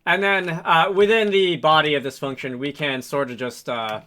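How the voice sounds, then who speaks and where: A man with a fairly high, bright and crisp-edged voice talks through a programming tutorial. He speaks casually and thinks aloud, pausing and hesitating as he works things out. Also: fairly even intonation, a little hiss or hum. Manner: halting, improvised delivery with frequent hesitations. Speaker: tutorial host, game developer.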